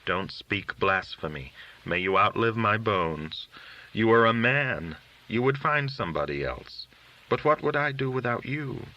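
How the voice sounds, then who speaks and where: A man's voice: lowish voice, expressive, unhurried, bright, forceful tone, highs cut off, exaggerated voice. A man voicing a pious, self-effacing, worried wife in a folk tale, plaintive and fretful. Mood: sad, chiding. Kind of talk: audiobook character dialogue.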